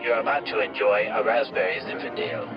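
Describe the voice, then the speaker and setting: Low-voiced mock commercial announcer played by a man. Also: a little fast, lightly acted. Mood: suave selling. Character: smooth mock advertisement announcer for wine. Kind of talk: scripted parody commercial narration. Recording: muffled lo-fi recording, loud music behind.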